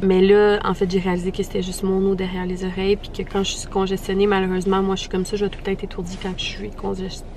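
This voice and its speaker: A woman's voice: medium pitch, a little hiss or hum. A young woman with a Québécois French accent, giving a candid, chatty life update and peppering her talk with English slang. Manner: chatty, self-deprecating, frequent English slang. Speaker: vlogger.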